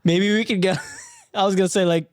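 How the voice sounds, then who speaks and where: A man's voice: high voice, steady tone, brisk, smooth, no room sound, clean studio sound. A man co-hosting a podcast with casual, joking banter, exclaiming and swearing. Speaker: podcast co-host.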